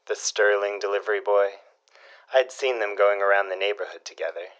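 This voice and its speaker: A man's voice: fairly low pitch, fairly even intonation, a little fast, a bit bright, lo-fi sound, lightly acted. An actor playing a curious, folksy man with dry, old-fashioned turns of phrase, prying for gossip in a period audio drama.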